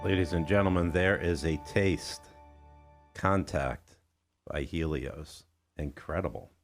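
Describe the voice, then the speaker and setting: Very deep-voiced male radio host. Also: slow pace, faint music behind. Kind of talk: music radio interview.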